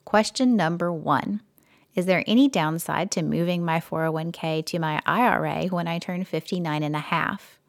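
A woman co-hosting a personal finance podcast, reading a listener's letter aloud in a steady, measured way. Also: fairly low pitch, gravelly, dry recording, clean studio sound. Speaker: podcast co-host.

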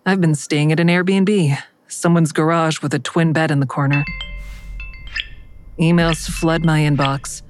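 A troubled, weary woman tells her own story in an audio drama. Her voice sits low, and her delivery is terse and matter-of-fact, with a self-critical edge. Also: steady tone, unhurried, pauses often, soft background music. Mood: brooding, matter-of-fact. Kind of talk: first-person audio drama narration, present tense.

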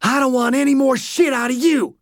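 A man's voice: fairly high pitch, unhurried, no room sound, studio quality. A man voicing a brutal, menacing man who threatens and snarls at a younger man. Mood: furious.

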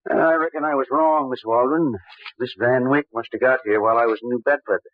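An actor playing a folksy, shrewd island lawman in an old-time radio mystery, husky and wry, slyly probing with his questions. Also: medium pitch, steady tone, a little fast, dry recording, lightly acted. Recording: dull old radio recording.

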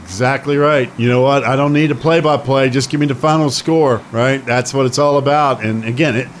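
A man's voice: mid-range voice, fairly even intonation, a little fast, a little hiss or hum. A man hosting a sports talk radio show, chatting with a co-host and taking phone calls in an emphatic, repetitive way. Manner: chatty, emphatic, repeats himself.

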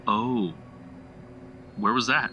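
An amiable police colleague in an audio drama. His voice is lowish and very sharp-edged. He sounds casual, curious and slightly awkward. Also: extremely slow, dull audio, slight background noise, heavily acted.

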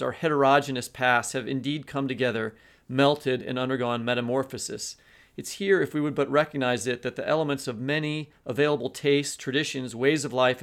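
A man co-hosting a literary podcast, discursive and restarting sentences as he builds his point. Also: medium pitch. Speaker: podcast co-host, teacher.

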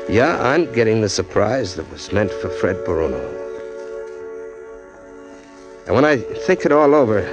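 A man's voice: fairly low pitch, exaggerated voice. A bitter hard-boiled condemned man. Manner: hard-boiled, bitter first-person narration. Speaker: narrator and lead, a man arrested for murder. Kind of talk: 1940s radio acting. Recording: old radio recording with music.

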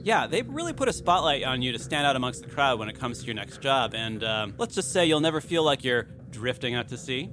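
A man of medium pitch banters through an ad read as co-host. His delivery is animated, jokey and self-deprecating, over music. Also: a little fast, few pauses. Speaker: show writer and ad co-host.

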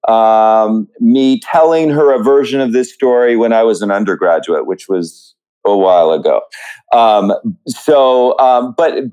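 A man answering as an interview guest, reflective and hesitant, often halting as he thinks. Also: mid-range voice, warm tone, clean tone, low-quality recording. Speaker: interview guest, musical book writer.

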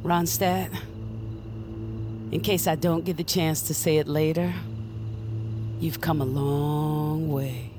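An actress playing a steady, protective witch mentor in an audio drama, earnest and deliberate in manner. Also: low-pitched, over music. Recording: noticeable ambient noise behind voice.

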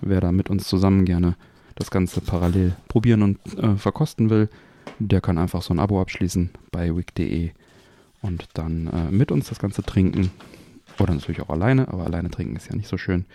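A man's voice: sits low, fairly quick, mellow. A man hosting a podcast in a casual, chatty style. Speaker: podcast host. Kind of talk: casual chat.

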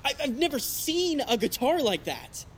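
A woman's voice: sits high, big pitch swings, normal speed, a little hiss or hum. A woman in an audio drama playing a friendly, awkward, eager teenage boy, earnest and bumbling. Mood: eager, impressed. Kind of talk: naturalistic audio drama acting.

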